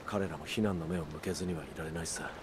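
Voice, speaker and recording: An actor playing a resolute, brooding outlaw leader in a video game, speaking earnestly and with measured gravity. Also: male voice, a bass voice, fairly even intonation, noisy background. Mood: solemn, resolute. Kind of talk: Japanese video game cutscene acting.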